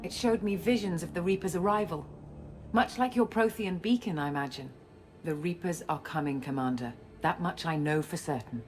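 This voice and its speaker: A woman playing a guarded, self-assured scientist and space operative in a video game, explaining things in a measured way. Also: fairly low pitch, a little mellow, natural voice. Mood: grave. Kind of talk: video game cutscene acting. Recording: music under the dialogue.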